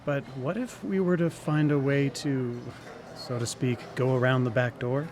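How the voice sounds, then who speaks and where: An actor playing an earnest engineer in a live radio drama, explaining things in a measured, slightly hesitant manner. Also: male voice, mid-range voice, fairly even intonation, noisy background, close to an everyday voice. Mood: earnest, explanatory.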